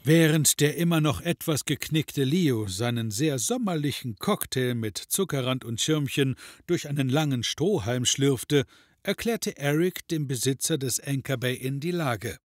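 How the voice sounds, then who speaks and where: A man's voice: medium pitch, lively intonation, unhurried, no echo, clean studio sound. A man narrating a scripted comedy audio drama in a wry storytelling manner.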